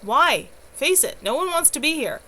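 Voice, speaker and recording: A woman's voice: high-pitched, sing-song, normal speed, pushed and bright, voice pushed up, heavily acted. A woman voicing a weary, exasperated young coven leader in a novel reading, emphatic and sarcastic.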